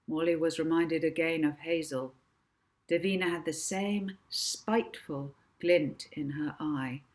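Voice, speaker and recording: A woman reads a children's story in a low-pitched voice. She takes her time, narrating expressively like an author sharing her own book.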